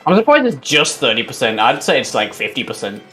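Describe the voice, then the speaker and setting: A man with a loud, booming voice doing casual speedrun commentary, rambling with lots of fillers, his mic at forearm's length. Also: very animated, quick pace, soft background music. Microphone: desk mic at forearm's length.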